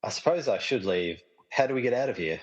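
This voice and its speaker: A man playing an easygoing, casually explaining film lover trapped in a movie, in a comedy radio play, with a husky voice and long reverb. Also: medium pitch, lively intonation, fast, dull audio, close to an everyday voice. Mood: mildly puzzled. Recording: long reverberant hall echo.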